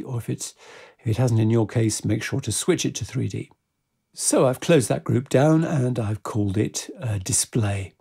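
A man giving a casual step-by-step software tutorial in a measured, explanatory way. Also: medium pitch, creaky, breathy edge.

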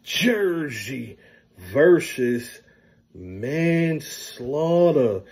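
A man with a mellow, dark voice delivers a battle rapper's promo at a very slow pace, stretching out his slangy phrases. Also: fairly high pitch, sing-song. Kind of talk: drawn-out promo shout-out.

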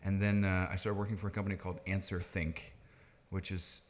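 Guy in his 30s, native dutch speaker, really low voice, explaining stuff on a podcast. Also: level tone, fairly quick. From Netherlands, moved to the US at nine. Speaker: podcast guest, tech founder. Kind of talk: conversational interview answers, explaining.

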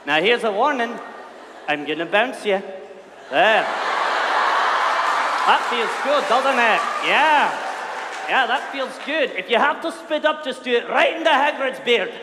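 A man playing a big, shaggy, gruff but affectionate groundskeeper in a comedic staged reading, loud and folksy. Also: very high voice, animated, measured pace, pauses often, a loud crowd. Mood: doting, concerned. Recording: echoey hall, live audience, faint noise.

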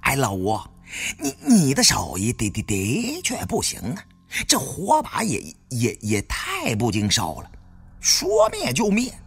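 A man acting a raspy, stuttering, mocking tomb raider. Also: medium pitch, wildly swooping pitch, a little slow, exaggerated voice. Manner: taunting, crude banter.

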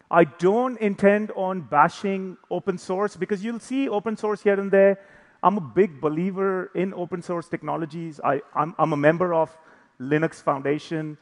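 A man speaks from the stage of a big, reverberant conference hall. His voice sits a little high for a man. He presents informally, joking with the listeners as he goes.

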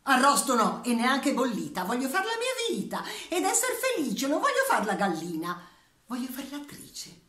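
A woman's voice: high voice, huge pitch swings, normal speed, fairly bright tone, pitched above the performer's own voice, full character voice. A woman voicing a restless hen who dreams of becoming an actress, squawking clucks and theatrical outbursts. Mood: exasperated. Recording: echoey room.

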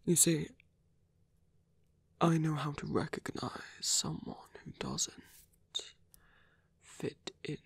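A man performs a seductive male villain for an ASMR roleplay, coaxing and teasing at a very unhurried pace. His voice is husky and breathy, often sinking to a whisper, with a creaky edge. He leaves long pauses, as if toying with a captive. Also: a little high, dry recording, exaggerated voice. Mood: intimate, probing.

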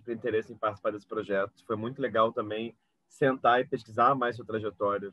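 A man in his thirties with a Brazilian accent, talking casually as an interview host on a video call. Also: mid-range voice. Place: indoor room with bookshelves, video call. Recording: dry, dull video call audio.

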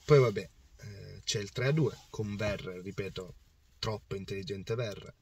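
Hoarse man rambling in a parked car. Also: lowish voice, a little slow, a little mellow, recorded from a distance, slight background noise. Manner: passionate, rambling with restarts and repetitions. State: hoarse, voice worn out. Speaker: football fan vlogger. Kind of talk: casual match recap to camera. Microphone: phone or camera on the dashboard.

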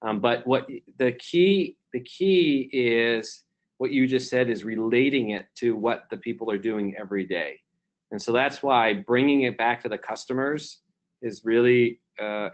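A man's voice: medium pitch, unhurried, lo-fi sound. A man, a company chief executive, answering questions on a conference call in a reflective way, with many fillers and restarts. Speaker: company CEO, keynote guest.

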